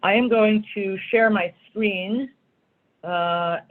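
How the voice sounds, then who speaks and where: A woman's voice: mid-range voice, slow. A woman law school dean opening a lecture over a video call, gracious and articulate as she thanks her hosts. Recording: tinny phone-like audio.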